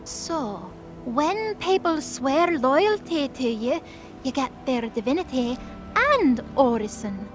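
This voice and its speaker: A woman playing a gentle, earnest young goddess in an audio drama, speaking in halting, simple phrases. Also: high-pitched, expressive, faint music behind. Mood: curious, hesitant. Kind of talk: acted audio drama dialogue.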